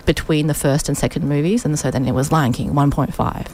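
A woman, guesting on community radio, speaking in a notably low voice. She talks in a chatty, reflective way, circling back with plenty of ums and yeahs. Faint noise runs under the studio recording. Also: fairly even intonation, fairly quick, a little mellow. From Australia, Sydney. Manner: chatty and thoughtful, with many fillers. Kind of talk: casual radio interview.

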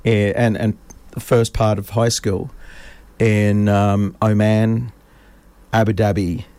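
A man in his fifties from Australia, chatting as a guest in a casual interview. He rambles through stories with plenty of ums and restarts. His voice sits a little low. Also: level tone, slow pace, warm tone, clear, resonant tone, no echo. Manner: rambling storyteller, many fillers and restarts. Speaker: podcast guest.

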